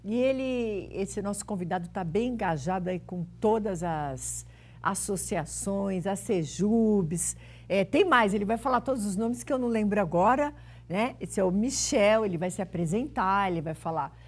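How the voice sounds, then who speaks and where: A woman's voice: medium pitch, moderate pace. A woman hosts a talk show, chatting and interviewing in an informal, rambling way with familiar forms of address.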